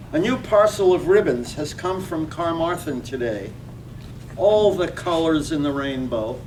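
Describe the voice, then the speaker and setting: An actor playing a lovesick, thrifty draper reading out his love letter earnestly in a staged radio drama. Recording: distant mic, faint rumbling noise.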